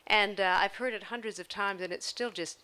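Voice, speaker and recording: A woman's voice: medium pitch, fairly quick, bright, forceful tone, clean tone. An older woman, a choreographer, answering questions at a public talk on an outdoor stage. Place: outdoor stage before an audience. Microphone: handheld microphone.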